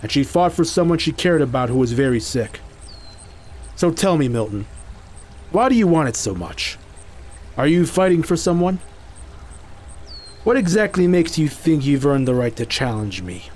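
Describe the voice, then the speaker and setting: A man's voice: on the high side, sing-song, normal speed, pauses often, a little mellow. A man playing a confident, stern fighter who guards a sacred treasure in an audio drama, firm and teasing. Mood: stern, assured. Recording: faint background noise.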